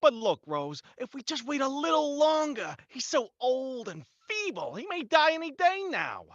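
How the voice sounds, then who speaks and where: A man acts out an anxious, henpecked husband in an old radio thriller. The voice is tense and pleading with lively swings of pitch, on a slightly dull recording. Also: moderate pace, dry recording, heavily acted. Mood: uneasy, pleading. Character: nervous, hesitant henpecked husband reluctant to kill. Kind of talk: 1940s radio melodrama acting.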